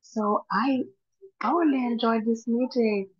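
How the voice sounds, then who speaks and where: A woman's voice: on the high side, measured pace, husky, no room sound, dull audio. A woman from Jamaica with a Jamaican accent, speaking steadily as a meeting attendee. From Kingston, Jamaica.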